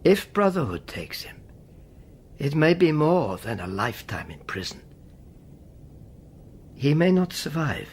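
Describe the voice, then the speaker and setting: An older Czech spymaster, a courteous, wistful man in a radio drama. He persuades slowly and musingly with a lively melody and frequent near whispers. There is a faint hiss and rumble behind him. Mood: grave, pleading. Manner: deliberate, musing, often dropping to near whisper.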